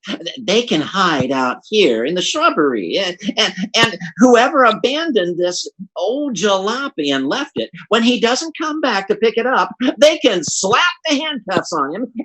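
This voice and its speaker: A man's voice: sits high, very animated, measured pace, bright, forceful tone, full character voice, low-quality recording. A man playing a grumbling, comically indignant husband in 1940s radio comedy, stammering in folksy slang. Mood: blustering.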